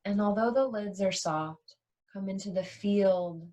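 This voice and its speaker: A woman leading a guided yoga nidra meditation as a teacher, soothing and unhurried, leaving long pauses between short phrases. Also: medium pitch, dark tone.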